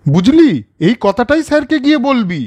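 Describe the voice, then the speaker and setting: A man voicing a caring, principled older brother in a children's cartoon story, questioning and gently lecturing. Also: extremely high pitch, sing-song, normal speed, exaggerated voice. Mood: stern, reproving.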